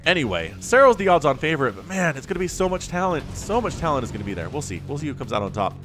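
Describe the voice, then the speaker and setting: A man's voice: a little high, sing-song, very fast, fairly bright tone, faint music behind. A young man with a sniffly cold, giving chatty solo commentary on a strategy game and wandering off on jokey tangents. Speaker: StarCraft shoutcaster.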